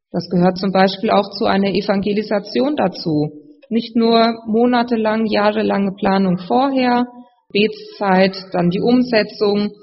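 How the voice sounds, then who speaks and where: Preacher with a slight cold, gravelly and measured, explaining scripture; muffled sound with long hall reverb. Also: medium pitch. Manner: measured, explanatory, speaking to a congregation. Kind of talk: sermon with scripture reading. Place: large reverberant room, live address.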